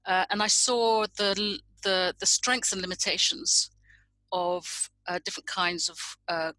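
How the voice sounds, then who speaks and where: A middle-aged woman from Indonesia talks in a reflective conversation. Her voice sits fairly low, with a bright, forceful edge to the tone. The sound is dry and close, and she picks her words with care. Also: a little slow, no room sound, poor audio. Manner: thoughtful, searching for words. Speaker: guest.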